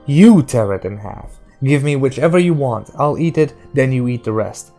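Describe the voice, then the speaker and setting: A man voicing a spiteful, suspicious captive woman in an audiobook, hoarse and jeering in crude dialect. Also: medium pitch, animated, moderate pace, warm tone, soft background music, close to an everyday voice. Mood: defiant.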